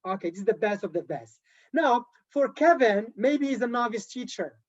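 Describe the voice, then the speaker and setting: A man's voice: very high voice, animated, moderate pace, dull audio. A man leading a live online teacher-training session, lecturing in an encouraging way and repeating his key points. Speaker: TESOL trainer.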